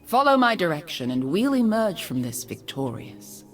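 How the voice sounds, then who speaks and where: Video game noblewoman mage: haughty, composed, formal, lower female register, wide expressive range, music underneath. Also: normal speed. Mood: proud resolve. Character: regal, composed woman mage-politician from a noble warrior family. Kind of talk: scripted video game voice lines.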